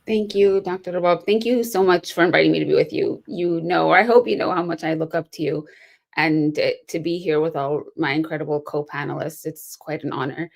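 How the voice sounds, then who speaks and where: A low-pitched woman gives earnest, measured remarks as a panelist on a video call. She leans on rhetorical asides to keep her listeners with her. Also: gravelly. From United States. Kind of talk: prepared remarks in an online panel.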